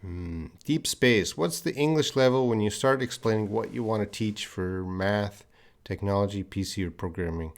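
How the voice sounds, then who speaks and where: A man's voice: lowish voice, moderate pace. A middle-aged man with a light Canadian accent teaching English on a live stream, patiently answering viewers' questions and repeating words for learners.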